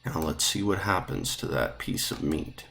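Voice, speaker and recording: A man's voice: very deep voice, expressive, moderate pace, gravelly, a bit bright, a little hiss or hum. A man speaking as a teacher, casually guiding listeners through a read-along.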